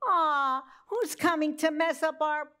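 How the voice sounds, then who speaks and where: A woman's voice: high voice, slow pace, sharp tone, pitched above the performer's own voice, heavily acted. A woman voicing grumbling pigs in a children's storybook, oinking and whiny.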